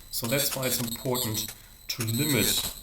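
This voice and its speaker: A man giving a live technical demonstration as a university lecturer, explaining in a hesitant way with frequent pauses. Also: medium pitch, fairly even intonation. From Germany. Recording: roomy echo, faint background noise.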